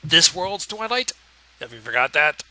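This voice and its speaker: A man's voice: fairly high pitch, very animated, moderate pace, sharp tone. A man co-hosting a livestream, chipping in with casual, wry and teasing comments. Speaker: co-host commenting on the reading.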